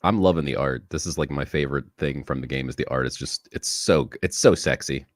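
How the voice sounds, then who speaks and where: Male guest, very low voice, stuffy with a cold, laid-back. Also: a little fast, dry recording, clean studio sound. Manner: easygoing, laughs often. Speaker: guest streamer. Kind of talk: casual chat while playing.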